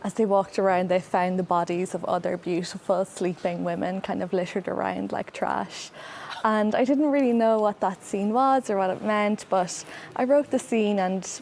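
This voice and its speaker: A woman author answers interview questions calmly and thoughtfully. Her voice has a gravelly edge, with faint noise in the background. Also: medium pitch, moderate pace. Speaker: debut novelist guest.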